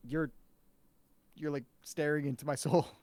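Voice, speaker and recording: A man's voice: a little high, fairly even intonation, unhurried, darkish tone, no echo, studio quality, lightly acted. Man playing a worried, blunt young guy. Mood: concerned, uneasy. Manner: casual, concerned, blunt. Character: worried young man checking on his dishevelled friend. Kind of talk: informal live script reading.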